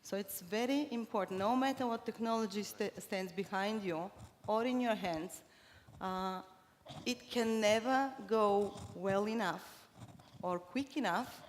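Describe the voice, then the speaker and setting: A woman's voice: mid-range voice, a little slow. Bulgarian woman giving a conference talk in english, lots of uhs and restarts, big echoey hall. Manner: hesitant, many fillers and restarts. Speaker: conference speaker. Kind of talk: conference presentation. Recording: reverberant hall, faint noise.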